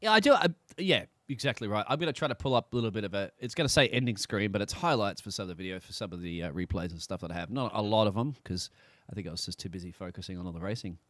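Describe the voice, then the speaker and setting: A man hosting a sim racing league stream, interviewing the winner in a quick, friendly way. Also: lowish voice, lively intonation, a bit bright, no room sound. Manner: quick, friendly, calls people mate. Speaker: league commentator and host. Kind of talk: casual live-stream commentary interview.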